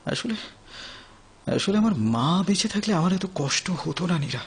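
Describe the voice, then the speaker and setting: Lonely anguished young man, high-pitched. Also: expressive. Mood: sorrowful, yearning. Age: 30s. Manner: emotional, halting, repeats phrases. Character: lonely, anguished thirty-year-old son of neglectful parents. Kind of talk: Bengali audio play acting.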